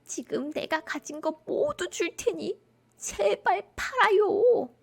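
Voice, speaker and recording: A woman's voice: very high voice, animated, slow, vocal fry, voice pushed up, heavily acted. A woman voicing a greedy, gullible male swindler in a storybook folktale. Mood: pleading.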